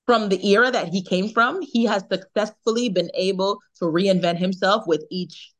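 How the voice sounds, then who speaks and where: A young Jamaican woman chatting in a remote interview, talkative and quick to laugh, often repeating her words. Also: mid-range voice. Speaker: singer, interview guest. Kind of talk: casual interview chat.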